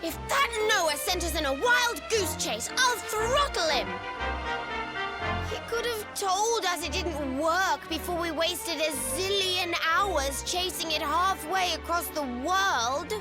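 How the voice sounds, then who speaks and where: A woman's voice: extremely high pitch, lively intonation, slow, over loud music, full character voice. An actress playing a bossy, hot-tempered little girl in a video game, blunt and emphatic. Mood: indignant. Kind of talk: video game cutscene acting.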